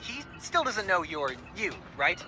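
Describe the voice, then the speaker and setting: An actor playing an excitable teenage boy, a tech-savvy best friend in a video game, rambling with lots of fillers. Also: high-pitched, measured pace, soft background music, exaggerated voice. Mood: excited. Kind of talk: video game cutscene acting.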